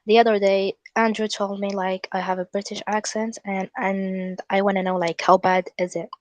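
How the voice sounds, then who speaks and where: A woman's voice: mid-range voice, flat delivery, darkish tone. Earnest woman asking questions on a call. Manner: earnest, questioning, slightly halting. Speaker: community member asking for advice. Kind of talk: casual group video call. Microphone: video call.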